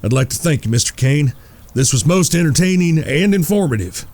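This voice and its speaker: An actor playing a shrewd outlaw gambler in a western audio drama, polite, folksy and coolly confident. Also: male voice, a little high, expressive, brisk, darkish tone. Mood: courteous. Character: shrewd, courteous outlaw gambler, leader of his brothers.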